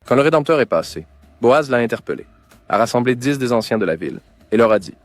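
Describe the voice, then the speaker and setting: A man's voice: mid-range voice, animated, fast talker, faint music behind. A man narrating a scripted Bible story.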